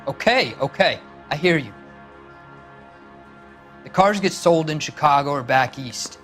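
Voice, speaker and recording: Surly American small-time crook, music behind. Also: male voice, fairly high pitch, animated, unhurried, fairly bright tone. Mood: cornered. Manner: sullen, slangy, defensive. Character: surly small-time male car thief, defiant and cornered. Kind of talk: 1940s crime drama game acting.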